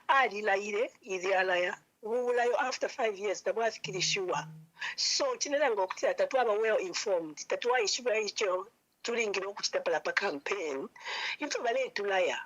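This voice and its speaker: A hoarse woman with a Zambian accent calling in, mixing English with a local language over a choppy line. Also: mid-range voice, lo-fi sound. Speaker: caller.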